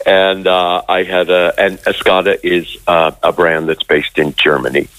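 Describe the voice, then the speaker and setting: A middle-aged American man with a very deep, low voice speaks over a phone line into a dry, close sound. He talks hesitantly, with frequent ums and restarts, as a radio interview guest. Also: level tone, unhurried, a bit bright, dry recording. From Connecticut, United States.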